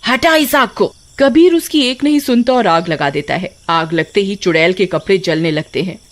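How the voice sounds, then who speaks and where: A woman storyteller reading scripted narration for an animated tale. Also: lowish voice, normal speed.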